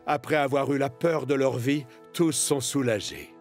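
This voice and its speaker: A man's voice: medium pitch, steady tone, normal speed, natural voice. A man with a standard French accent narrating a children's cartoon in a scripted storytelling style. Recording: music behind the voice.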